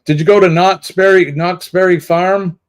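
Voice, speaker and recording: A man's voice: sits high, fairly even intonation, moderate pace. New England man in his sixties, explaining. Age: 60s. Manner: explains things, reads out viewer comments. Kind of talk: casual live-stream chat.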